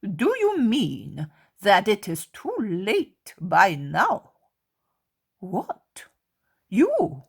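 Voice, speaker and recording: A scornful male scribe who needles with slow questions. A woman reads his lines in a storybook. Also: lowish voice, slightly rough, heavily acted.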